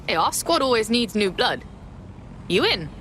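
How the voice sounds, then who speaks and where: A woman's voice: a little high, sing-song, moderate pace, a bit bright, slight background noise. An actress playing a streetwise female resistance recruiter who talks casual and slangy. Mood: reassuring.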